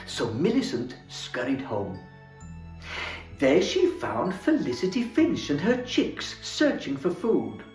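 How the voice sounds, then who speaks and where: A man playing Santa as storyteller, reading a children's book aloud in a theatrical, expressive way. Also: on the high side, slow. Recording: roomy echo, faint music.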